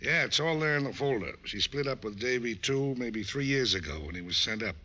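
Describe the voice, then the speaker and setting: An actor playing a stout, exasperated police lieutenant given to heavy sarcasm, in old radio banter style. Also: male voice, mid-range voice, lively intonation, fairly quick, bright, forceful tone. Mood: grudging. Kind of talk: 1940s-50s radio comic banter. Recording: dull old radio recording.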